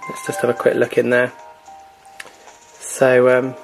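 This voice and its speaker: A man's voice: mid-range voice, unhurried, vocal fry, soft background music. A man hosting a gaming channel, chatting casually with many fillers as he walks through a console's online store. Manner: relaxed, filler-heavy, chatty. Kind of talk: casual screen walkthrough.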